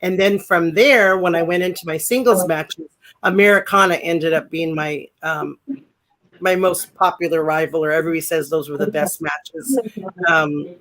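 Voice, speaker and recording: A deep-voiced woman in her sixties talks through her memories as an interview guest. She rambles, circles back on herself and fills pauses with ums. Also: fairly even intonation, a bit bright, poor audio. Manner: rambling, full of fillers and restarts. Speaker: guest, former pro wrestler. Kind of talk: casual interview chat.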